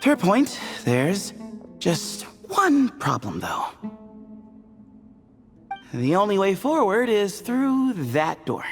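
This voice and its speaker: A man's voice: high voice, big pitch swings, takes it slowly, long pauses, soft background music, full character voice. An actor playing an easygoing, wry young male adventurer in an English game dub.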